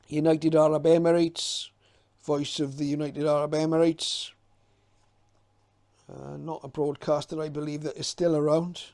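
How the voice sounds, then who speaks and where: A man's voice: fairly high pitch, flat delivery, measured pace, a little mellow. A middle-aged man giving informal, unscripted commentary as a hobbyist presenter, hesitant and reminiscing as he goes.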